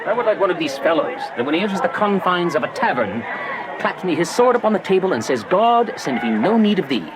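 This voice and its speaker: High-voiced, animated mocking young gallant. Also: male voice, brisk, crowd noise. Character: mocking, quick-witted young gentleman. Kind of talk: Shakespearean stage-style drama. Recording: noisy crowded street ambience.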